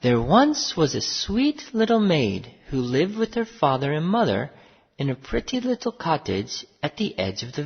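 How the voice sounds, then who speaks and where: Expressive, husky fairy-tale storyteller. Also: medium pitch. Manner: deliberate, expressive storybook reading. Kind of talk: scripted fairy-tale narration for English learners. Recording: muffled audio with long reverb.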